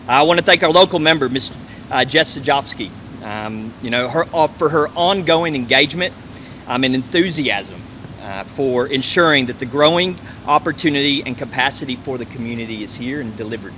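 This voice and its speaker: A man delivering a formal, measured prepared speech at a press conference for a community sports centre. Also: medium pitch, sharp tone. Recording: faint ambient hum and noise.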